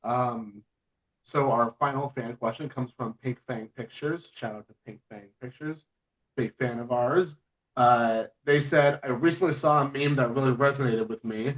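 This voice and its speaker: A man hosting an informal podcast interview, with a halting, conversational delivery. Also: mid-range voice, rough recording. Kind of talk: casual interview, reading out listener questions.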